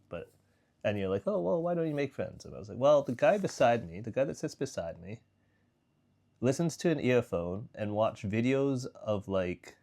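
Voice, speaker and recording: A man's voice: on the low side, darkish tone. A young man vlogging in a casual, rambling way, full of fillers and restarts. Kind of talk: casual vlog chat.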